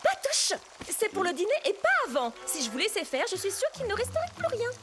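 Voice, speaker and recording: An actress playing an affectionate, fussy housekeeper and cook in a cartoon, exclaiming and scolding. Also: female voice, very high-pitched, wildly swooping pitch, fairly quick, full character voice. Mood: playful scolding. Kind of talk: animated cartoon acting. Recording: loud music behind the voice.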